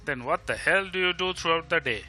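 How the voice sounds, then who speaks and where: A man with an Indian Bengali accent playing a caring, teasing young male friend in a bilingual audio drama, asking after someone and gently chiding. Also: a little high, fairly quick, soft background music. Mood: friendly, nagging. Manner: solicitous, gently chiding, asking many questions.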